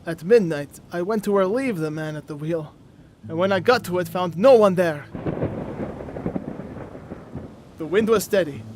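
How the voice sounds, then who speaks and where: An actor playing a grave, dutiful schooner captain full of dread, solemnly narrating the log in a gothic radio play. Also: male voice, high-pitched, slight background noise, lightly acted. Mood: grave, uneasy. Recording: music playing behind the voice. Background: music.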